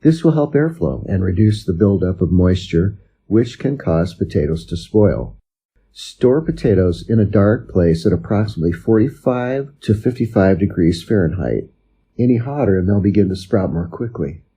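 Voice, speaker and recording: Very dark, husky male reader. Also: deep voice, expressive, moderate pace. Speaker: reader for English lessons. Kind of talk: scripted narration, informational reading.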